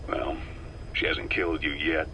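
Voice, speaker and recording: A man playing a cool, calculating veteran mercenary intelligence officer in a video game, speaking in a measured, wry, persuasive way. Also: extremely low pitch, fairly even intonation, vocal fry, pushed and bright, full character voice. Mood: calm, analytical. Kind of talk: video game voice acting, briefing dialogue. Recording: noisy radio-like cassette tape recording.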